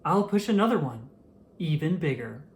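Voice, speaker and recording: A storyteller plays a big, strong male fish from a picture book. He is boastful and showy, with a puffed-up manner and widely moving pitch. There is faint noise behind. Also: big pitch swings, moderate pace, lightly acted.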